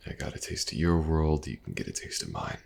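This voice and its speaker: A man playing an elven mercenary companion in an intimate fantasy audio roleplay, patient and gentle, with a hesitant, casual way of talking. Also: heavily acted. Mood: friendly, encouraging. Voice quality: very deep, gravelly. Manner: hesitant, soft-spoken, casual, with halting pauses.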